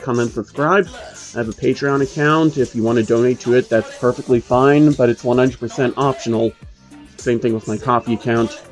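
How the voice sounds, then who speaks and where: A man's voice: medium pitch, darkish tone. A man narrating fan fiction in an amateur way, with casual spoken asides. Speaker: narrator reading fan fiction on his channel.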